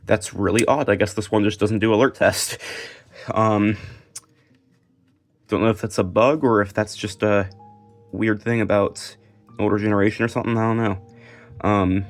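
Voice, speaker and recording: An American man giving a casual, unscripted show-and-tell, halting and restarting as he goes. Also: lowish voice, steady tone, faint music behind. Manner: halting, unscripted, trailing off mid-thought. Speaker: video creator showing a collection.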